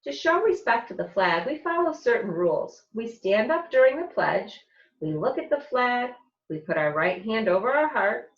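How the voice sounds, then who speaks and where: A slightly muffled, roomy recording of an American woman reading to young children. She takes her time and speaks like a teacher, with lively, expressive pitch and careful explanations. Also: normal speed. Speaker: teacher reading aloud to second graders. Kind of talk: read-aloud of a children's picture book.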